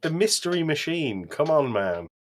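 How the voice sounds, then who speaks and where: A man's voice: on the high side, very animated, moderate pace. A man co-hosting a podcast, chatting casually with an enthusiastic, emphatic way of talking. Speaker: podcast co-host. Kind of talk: casual chat.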